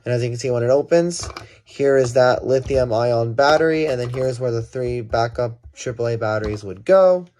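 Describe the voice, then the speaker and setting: A man's voice: mid-range voice, normal speed, a little mellow. A man giving a casual hands-on gadget demonstration, thinking aloud and correcting himself as he goes. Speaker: product reviewer.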